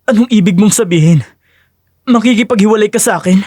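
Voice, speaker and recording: A lovesick young husband played by a woman with her voice dropped low in an audio melodrama. He is earnest, weak-willed and pleading, recorded very dry. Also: brisk, darkish tone, slightly rough, dry recording, close to an everyday voice. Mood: hurt, pleading. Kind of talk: dramatic audio story acting.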